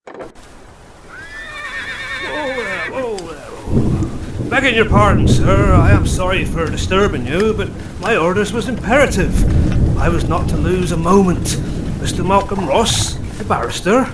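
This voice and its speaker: A high-pitched male coachman, urgent and humbly respectful in his rough working man's speech. Loud horse and carriage noise surrounds him in this gothic audio drama. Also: unhurried. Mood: deferential, urgent. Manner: deferential, urgent, uneducated grammar. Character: deferential working-class male carriage driver with urgent orders.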